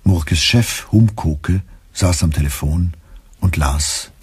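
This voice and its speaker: Deep, very husky male narrator. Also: takes it slowly, a little mellow, dry recording. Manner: sober, matter-of-fact. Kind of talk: scripted radio play narration.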